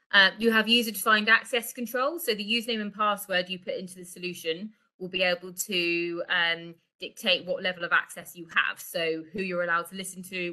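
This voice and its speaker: A middle-aged woman presents a product in a conversational, explanatory way on a video call. Her voice sits a little low for a woman but has a bright, forward edge. The delivery is informal, like an online sales walkthrough. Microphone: video call microphone.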